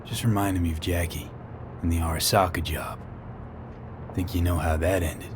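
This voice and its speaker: Guy doing weary cyberpunk merc, really deep voice, clipped and wry, vehicle noise in the back. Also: steady tone, normal speed, lightly acted. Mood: sombre. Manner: terse, clipped, understated. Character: world-weary young male cyberpunk mercenary, terse, wry, sincere. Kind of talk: naturalistic video game acting.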